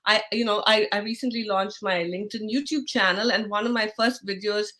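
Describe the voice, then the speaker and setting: A woman's voice: medium pitch, fairly even intonation, fairly quick, a bit bright. A middle-aged woman from India with an Indian accent, hosting a live online interview in a chatty way, full of fillers and restarts. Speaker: host.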